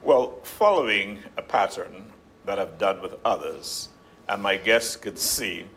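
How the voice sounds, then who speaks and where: A man's voice: lowish voice, expressive, unhurried, fairly bright tone. A man, hosting an interview and introducing his guest formally. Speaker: talk show host. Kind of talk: unscripted interview.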